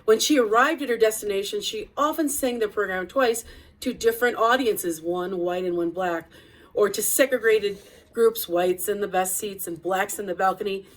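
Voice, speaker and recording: A woman's voice: mid-range voice, moderate pace, rough recording. A woman reading a children's picture-book biography aloud as storytelling narration, with an occasional small stumble.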